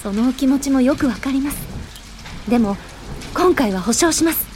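An actress playing a caring, determined young social worker in a radio drama, earnest and gently persuasive. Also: female voice, high voice, expressive, normal speed, slight background noise. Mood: gentle, reassuring.

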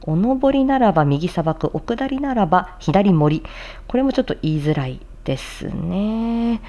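A woman's voice: mid-range voice, expressive, a little slow, warm tone, dull audio. A woman voice performer presenting a practice show, explaining and demonstrating recitation with attention to articulation. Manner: articulation-focused, demonstrating and explaining tricky phrases. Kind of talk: casual explanatory talk with formal script recitation.

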